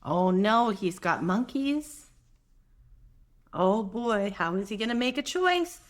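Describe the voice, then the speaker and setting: Soft-voiced woman reading leisurely to children. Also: mid-range voice, slow pace. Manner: gentle, expressive, chatty with young listeners. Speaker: children's read-aloud host; mother. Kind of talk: picture-book read-aloud for preschoolers with conversational asides.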